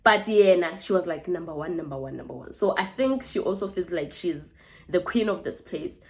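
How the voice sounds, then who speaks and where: A woman's voice: fairly low pitch, animated, moderate pace. A woman in her twenties with a South African accent, telling a personal story casually to camera as a vlogger, chatty and full of asides to her viewers. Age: 20s.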